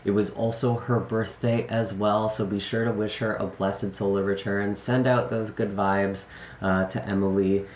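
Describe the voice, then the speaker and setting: A man with a slightly raspy, lowish voice talks to his viewers in an earnest, unhurried way, pausing on fillers as he goes. He is getting over an illness. The sound is echoey, with faint room hiss behind it. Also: little pitch movement. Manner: gentle, earnest, many fillers. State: recovering from illness. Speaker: tarot reader. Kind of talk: casual channel intro.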